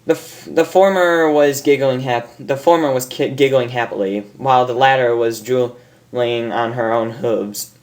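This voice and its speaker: A man's voice: mid-range voice, fairly even intonation, measured pace. A man narrating a story as an amateur host, informal, stumbling over lines and correcting himself. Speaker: fan fiction reader and host.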